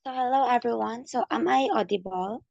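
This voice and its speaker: A young woman with a hoarse, husky voice giving a class presentation, explaining as she goes and leaning on "so" and "like". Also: a little high, brisk, darkish tone, no echo. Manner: explanatory, leans on "so" and "like". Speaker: student presenter. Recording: dull lo-fi mic.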